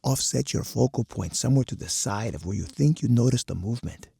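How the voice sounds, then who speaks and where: A man playing a stern, uncompromising army colonel in a strategy game, speaking in measured declarations with a gravelly, husky voice. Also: medium pitch, lively intonation, mellow, no echo, studio quality, heavily acted. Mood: instructive. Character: uncompromising hawkish male army colonel, grim military strategist. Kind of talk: scripted game voice lines.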